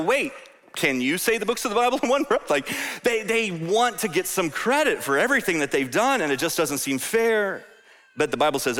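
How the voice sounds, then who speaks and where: Bright-voiced man preaching in a hall. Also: a little high. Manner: conversational and animated, with asides to the congregation. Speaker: pastor. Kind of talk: sermon.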